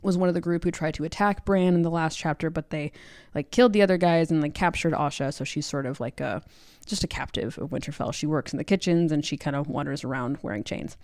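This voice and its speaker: A woman's voice: deep voice, fast talker, a little mellow, no room sound, studio quality. A woman co-hosting a book club podcast, recapping and discussing a story in a casual, conversational way.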